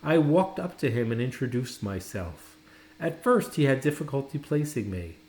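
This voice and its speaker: A man narrating a children's storybook expressively, with dramatic, deliberate phrasing and pauses. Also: mid-range voice, sing-song, darkish tone. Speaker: storybook reader. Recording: roomy, echoing indoor sound.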